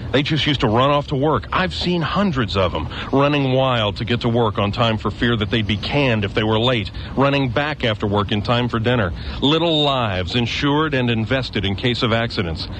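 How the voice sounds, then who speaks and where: An actor playing a hardened former guardsman who survives alone in a ruined town, gruff and matter-of-fact, lecturing with bitter conviction. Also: mid-range voice, moderate pace, hardly any pauses, fairly bright tone, slight background noise, natural voice. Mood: scornful. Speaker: stranger with a knife. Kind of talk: local radio drama.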